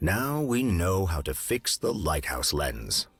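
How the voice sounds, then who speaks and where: Shrewd game detective, low measured voice, lively intonation. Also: male voice, husky, faint music behind, full character voice. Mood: suspicious, pensive. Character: serious, shrewd male detective. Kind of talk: video game character acting.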